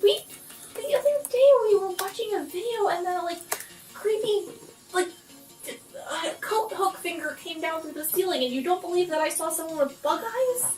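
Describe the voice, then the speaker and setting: A woman's voice: extremely high pitch, lively intonation, normal speed, a little mellow, recorded from a distance. A woman playing a tabletop game, chatting casually in character with hesitant restarts. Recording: echoey room, off the mic, music.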